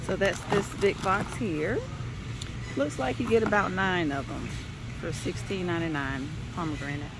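A woman's voice: on the low side, noisy background. A woman vlogging a shopping trip, walking through a big warehouse store and reading out prices item by item on her phone. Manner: unhurried, reads out prices item by item. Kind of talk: casual shopping walkthrough. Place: large warehouse store, indoors. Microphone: handheld phone, voice behind camera.

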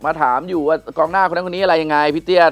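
A man's voice: a little high, fairly even intonation, rapid-fire, vocal fry, dry recording. A middle-aged man hosting a conversational football discussion in a TV studio. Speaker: sports show host. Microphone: lapel mic.

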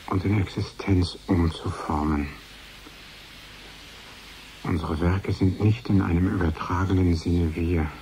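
Grüblerischer Künstler, sehr tief und langsam. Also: male voice, deep voice, dark tone, a little hiss or hum, poor audio. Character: brooding male artist musing alone to himself. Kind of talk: introspective radio drama monologue.

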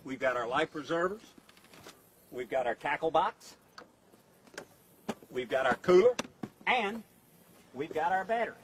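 A man's voice: a little high, animated, a little slow, long pauses, slight background noise. A middle-aged man hosting a comic fishing show outdoors by a lake, talking in a folksy way and cursing mildly when things go wrong. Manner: folksy, exclaims at mishaps.

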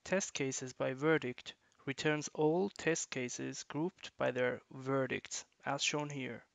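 A man with a mid-range voice reads a software walkthrough in an even, instructional way. The recording is dry and close, with no room sound. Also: mid-range voice, creaky, no room sound. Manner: measured, instructional. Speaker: software tutorial narrator. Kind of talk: scripted screencast narration.

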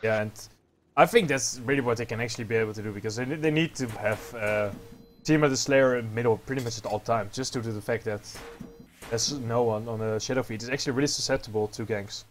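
A man's voice: mid-range voice, fast talker, soft background music. A man casting esports in a chatty style, often laughing with his co-caster. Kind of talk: esports commentary.

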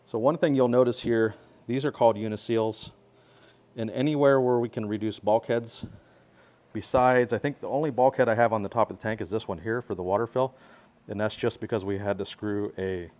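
A man with a fairly low voice walks through a build in his workshop, talking casually as he films on a handheld phone. He explains each part plainly and hands-on, like a builder showing a customer around. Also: darkish tone. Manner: explaining hands-on while filming himself. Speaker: pressure washing skid builder. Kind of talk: casual walkaround tour.

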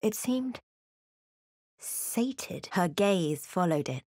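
An actress playing a watchful, introspective female fantasy adventurer, narrating her journey in measured, literary game prose. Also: medium pitch, expressive, a little mellow, dry recording, studio quality. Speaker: video game character. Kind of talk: first-person past-tense scripted game narration.

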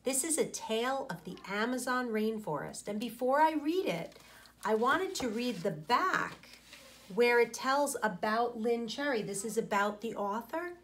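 Slow, deliberate woman teacher reading aloud. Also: on the high side, animated. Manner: deliberate, pausing, clearly phrased. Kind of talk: read-aloud for children, explanatory.

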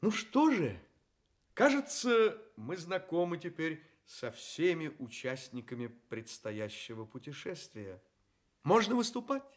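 A man's voice: fairly high pitch, sing-song, measured pace. A man playing a kindly, playful storyteller in a children's radio play, theatrical and coaxing. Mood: encouraging. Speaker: narrator.

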